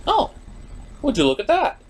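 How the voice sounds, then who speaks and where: A man's voice: very high-pitched, wildly swooping pitch, normal speed. A man hosting a scripted comic review show, speaking in a sarcastic, theatrical way. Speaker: host of a puppet cartoon review show.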